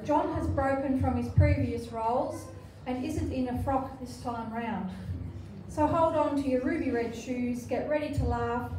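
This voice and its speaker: A woman's voice: sits high, unhurried. An older woman reading scripted narration for a live amateur pantomime. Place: care home common room, indoors, before an audience. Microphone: handheld microphone, captured by far camera. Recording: echoey hall, distant microphone, loud room noise.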